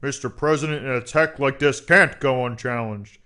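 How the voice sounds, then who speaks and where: A man's voice: mid-range voice, normal speed, pushed and bright, smooth, natural voice. Man as a grave, hawkish government adviser. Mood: grave, insistent. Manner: grave, insistent, formal. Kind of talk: comedic audio drama acting.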